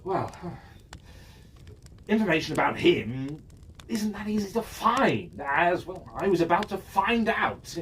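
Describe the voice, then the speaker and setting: A man's voice: high-pitched, lively intonation, slow pace, a bit bright. A man telling a fantasy story in character, chatty and musing, often asking himself questions aloud. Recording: distant mic with faint background noise.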